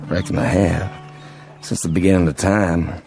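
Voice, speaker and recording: A tired, wounded soldier from an old war, speaking with a Southern American accent. His voice is very low and creaky, his manner humble and polite. Music plays beneath this radio drama. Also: fairly even intonation, darkish tone, exaggerated voice. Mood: resigned, wistful. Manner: folksy, slow to complain, plain-spoken. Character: weary, courteous wounded Confederate sergeant walking home from war.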